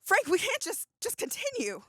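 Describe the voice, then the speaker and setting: A woman playing a tense, exasperated radio co-host in an audio drama, sharp, pleading and insistent. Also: very high-pitched, wildly swooping pitch, moderate pace, dry recording, clean studio sound, full character voice.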